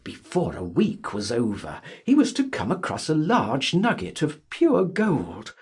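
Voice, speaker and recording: A man narrating a literary audiobook in a dramatic, expressive and measured way. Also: fairly high pitch, husky. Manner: theatrical, expressive, measured reading.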